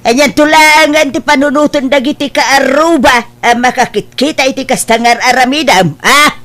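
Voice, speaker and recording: A woman's voice: sits high, normal speed, fairly bright tone. Reproachful, scolding mother played by a woman. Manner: reproachful, questioning. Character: disapproving, scolding mother of a grown son. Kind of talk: Ilocano radio-style family drama acting.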